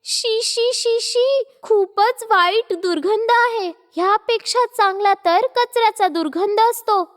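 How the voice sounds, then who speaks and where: A woman voicing a shrill, disgusted female guest at a party in a cartoon story. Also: unhurried, in a large hall. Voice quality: shrill, squealing.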